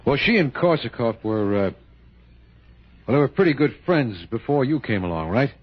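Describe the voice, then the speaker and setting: A homicide cop in an old radio play. He has a mid-pitched, husky voice with lively inflection, hesitant and folksy as he reasons things out, on a dull vintage recording. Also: normal speed. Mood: apologetic, hesitant. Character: plain-spoken, apologetic police homicide sergeant. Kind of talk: 1960 radio drama acting.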